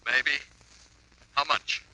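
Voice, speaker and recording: A man's voice: extremely slow, sharp tone, full character voice, poor audio. A man playing a frantic mystery writer. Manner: agitated, pleading, shaky. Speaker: mystery author. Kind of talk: 1950s radio drama acting. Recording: old radio broadcast.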